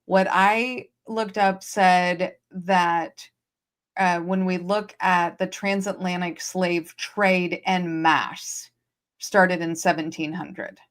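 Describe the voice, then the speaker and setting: A woman's voice: fairly low pitch, fairly even intonation, slow. A woman hosting a livestream, explaining points emphatically and repeating key phrases. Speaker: host. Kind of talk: livestream discussion. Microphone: streaming setup.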